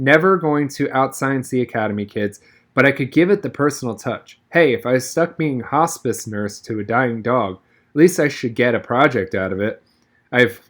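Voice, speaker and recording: A man reading a first-person novel aloud expressively, now and then stumbling and rereading a word. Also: mid-range voice. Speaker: audiobook reader.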